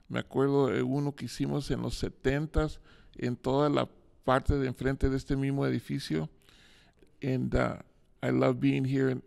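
An older man introduces himself informally. His voice is extremely creaky and gravelly. It stays very even at a middle pitch. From Tijuana and San Diego border region. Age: older, 70s. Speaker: veteran muralist, panelist. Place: indoor room, seated panel. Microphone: handheld microphone.

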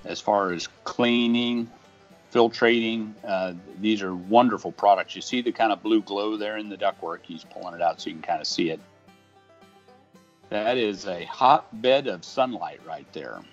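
A middle-aged man in an easygoing, conversational voice-over, explaining an HVAC installation with homespun comparisons. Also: on the low side. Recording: dull lo-fi audio with faint guitar music.

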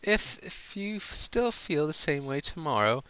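A man's voice: on the high side, big pitch swings, sharp tone, clear, resonant tone, no echo, voice pushed up, full character voice, poor audio. A man voicing a prim, proper female unicorn from a storybook, who speaks formally and stammers when flustered. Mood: hesitant. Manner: halting, stammering, fussily formal.

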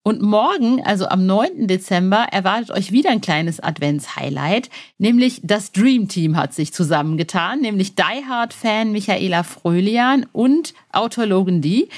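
Female podcast host, mid-range voice, casual and gushing, slangy, talks directly to the audience. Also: mid-range voice. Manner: informal and slangy, addressing listeners directly; enthusiastic, gushing. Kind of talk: casual, chatty podcast presenting.

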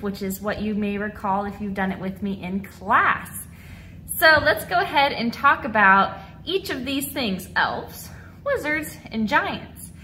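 A woman in her thirties teaching a dance lesson to children, with an animated, encouraging, clearly enunciated delivery. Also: a little high, slight background noise. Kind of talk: instructional video lesson for children. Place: large mirrored dance studio indoors.

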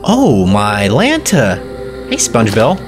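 A man's voice: lowish voice, huge pitch swings, takes it slowly. A young man playing a horror game and reacting with jokey, exclamatory commentary into a headset mic. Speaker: gaming streamer. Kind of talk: let's play commentary. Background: game music.

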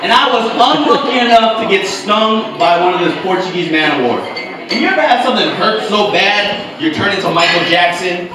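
High-voiced man from Hawaii doing stand-up. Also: expressive. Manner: loose, crude storytelling with quick asides to the crowd. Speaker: stand-up comedian. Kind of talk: stand-up comedy set. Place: stage of an indoor comedy club. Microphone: handheld mic, recorded by a camera far back in the room. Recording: echoey club audio from far off, loud crowd.

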